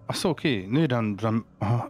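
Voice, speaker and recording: A man playing a tabletop game, chatting casually and haltingly as he works out his moves. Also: mid-range voice, animated, darkish tone.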